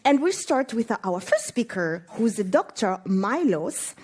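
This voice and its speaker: A woman presenting at a conference, introducing the next speaker in a slow, deliberate announcing manner. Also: a little high, sing-song, darkish tone, husky. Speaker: event presenter. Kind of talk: stage introduction. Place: large conference hall.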